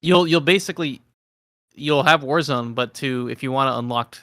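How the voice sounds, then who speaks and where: A man's voice: mid-range voice, moderate pace, a bit bright, dry recording, studio quality. A man co-hosting a gaming podcast, explaining things in a casual, rambling way. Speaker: podcast co-host.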